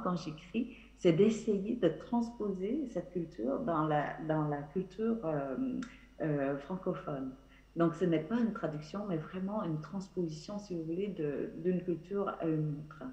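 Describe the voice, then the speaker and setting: A woman whose first language is Vietnamese, speaking as an author on a live festival panel, often pausing with fillers and restarting her sentences. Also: fairly low pitch, darkish tone. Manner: hesitant, many fillers and restarts. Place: theatre stage. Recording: roomy, dull sound.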